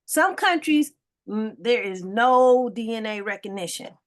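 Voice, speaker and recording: A woman's voice: on the high side, lively intonation, measured pace. A woman, guest and foundation director, explaining history in an interview with frequent ums and tag questions. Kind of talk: interview answers.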